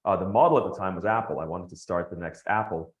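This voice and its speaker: A man from Nigeria and the Bahamas, a podcast guest telling his story in an animated way, laughing as he goes. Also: low voice, quick pace, reverberant, highs cut off. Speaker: guest, company founder. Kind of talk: podcast interview.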